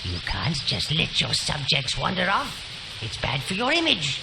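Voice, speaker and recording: Sly old minion, wildly swooping. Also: male voice, on the high side, huge pitch swings, vocal fry, very noisy, exaggerated voice. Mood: dry counsel. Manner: fawning, gleefully wicked, drawn-out delivery. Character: sly, obsequious old minion advisor to an evil overlord. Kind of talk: theatrical video game character acting. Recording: loud game music behind.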